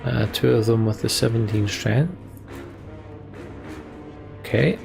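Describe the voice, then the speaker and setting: A man's voice: medium pitch, slow, gravelly. A man hosting a let's play, reading game text aloud and commenting casually on his play. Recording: game music behind the voice. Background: game music.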